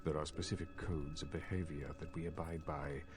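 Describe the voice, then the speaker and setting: An actor playing a scheming, cultured elder male vampire in a video game, speaking in formal, measured, condescending phrases. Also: rumbling low, little pitch movement. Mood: measured, dismissive. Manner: formal, measured, courtly speech. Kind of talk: video game character dialogue. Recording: loud game music behind. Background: music.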